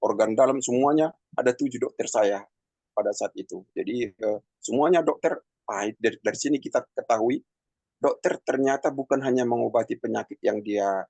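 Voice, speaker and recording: A man in his fifties giving a personal testimony in a halting, conversational way, with many pauses and fillers. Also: medium pitch, gravelly, darkish tone, no echo. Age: 50s. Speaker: testimony speaker.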